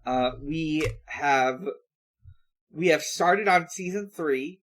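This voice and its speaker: A man hosting a podcast in casual chat, speaking in a halting way with stretched pauses. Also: fairly high pitch, a bit bright. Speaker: podcast host.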